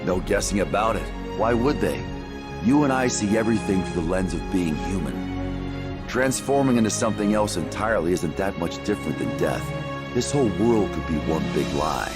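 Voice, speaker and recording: Gruff, cynical male game hero, low register, blunt and dry, loud music underneath. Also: expressive, normal speed. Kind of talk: video game cutscene acting.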